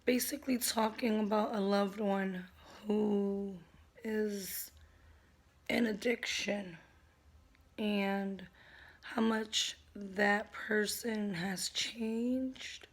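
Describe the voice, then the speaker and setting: A woman with a hoarse voice talking at home in an earnest, open vlog about her own struggles, keeping her naturally loud voice toned down. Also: mid-range voice, steady tone, very slow. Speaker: vlogger. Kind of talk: candid personal vlog talk. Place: at home, indoors.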